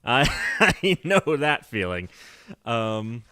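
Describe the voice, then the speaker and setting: A man's voice: mid-range voice, big pitch swings, drawn-out words, sharp tone, clear, resonant tone, dry recording. A man chatting casually on a game livestream, rambling and correcting himself as he goes, with a nerdy, laughing manner. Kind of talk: casual livestream chat while playing.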